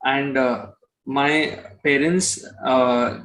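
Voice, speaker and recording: A man's voice: medium pitch, fairly even intonation, extremely slow, fairly bright tone, rough recording. A man from Kolkata with an Indian accent, answering interview questions casually, often circling back over his phrasing with "you know". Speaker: musician interview guest.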